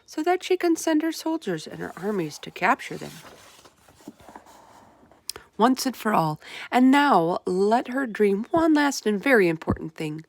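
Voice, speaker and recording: A woman's voice: medium pitch, very animated, a little slow, vocal fry, no echo. A woman voicing a confident, bossy, clever little orphan girl from a storybook, firm and instructing. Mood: scheming, instructing. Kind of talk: storybook read-aloud character voice.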